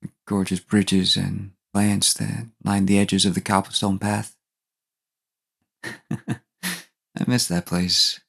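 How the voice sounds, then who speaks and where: Dead male lover, audio drama, man acting: gravelly, unhurried, mysterious, tenderly devoted. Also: sits low, flat delivery, a bit bright, full character voice. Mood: wistful, fond. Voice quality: husky, gravelly.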